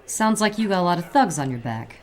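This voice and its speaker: An actress playing a cool, shrewd detective's partner in a noir radio drama, needling and quietly threatening. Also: female voice, fairly low pitch, big pitch swings, a little fast. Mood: slyly threatening.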